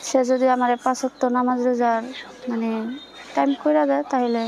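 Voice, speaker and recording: A young woman of about eighteen with a colloquial Bangladeshi accent, giving plain, informal answers in a soft, weak-sounding voice. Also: high-pitched, fairly even intonation, a little fast, gravelly. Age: young adult, about 18. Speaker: interviewee.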